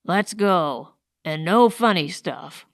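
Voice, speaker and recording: Woman voicing a slow, cold male outlaw boss. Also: fairly low pitch, expressive, no room sound, clean studio sound. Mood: menacing. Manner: curt, menacing, commanding. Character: tall, cold, commanding male outlaw gang leader. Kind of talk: audiobook character voice.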